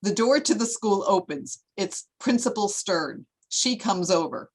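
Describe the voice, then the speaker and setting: A woman's voice: mid-range voice, expressive, a little slow, breathy edge. A woman reading screen directions as scripted narration for a table read. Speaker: stage-direction reader.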